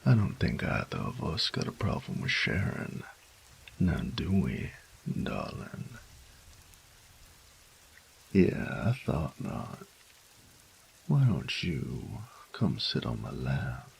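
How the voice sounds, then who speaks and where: A man acts out an affectionate, teasing boyfriend in a romantic audio roleplay. His voice is extremely low and full of fry, drawn out very slowly in intimate murmurs and whispers. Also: huge pitch swings, a little hiss or hum, exaggerated voice. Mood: cozy, teasing. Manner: slow, teasing, breathy murmurs dropping into whispers.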